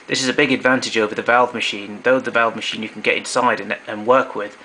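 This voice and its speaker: A man's voice: fairly low pitch, level tone, fast, sharp tone, a little hiss or hum, low-quality recording. A man giving a matter-of-fact, technical walkthrough of equipment, recorded indoors on a camera microphone. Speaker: hobbyist demonstrator. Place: indoor room at home.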